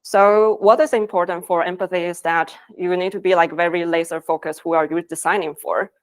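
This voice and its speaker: A woman's voice: lowish voice, steady tone, brisk. A woman from Taiwan, earnestly presenting at a conference in phrasing. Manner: earnest, with non-native phrasing. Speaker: conference speaker, design lead. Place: conference stage.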